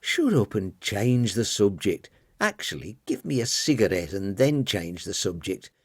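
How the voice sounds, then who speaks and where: A man voicing a moody, tired, snappish young girl in a breathy, childlike manner for an audiobook. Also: fairly low pitch, sing-song, measured pace, no echo, studio quality, heavily acted. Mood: curt, snappish.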